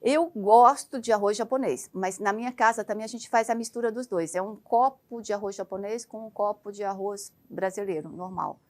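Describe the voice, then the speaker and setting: A woman from Brazil, a doctor guest on a TV interview in a studio, speaking bluntly and with lively emphasis. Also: mid-range voice, normal speed, hardly any pauses.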